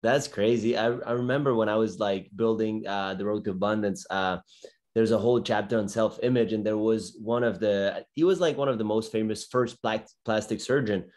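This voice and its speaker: A man's voice: lowish voice, moderate pace, darkish tone. A man in his thirties hosting a podcast interview, talking casually in loose, informal phrasing. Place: small bedroom indoors. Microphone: video call microphone.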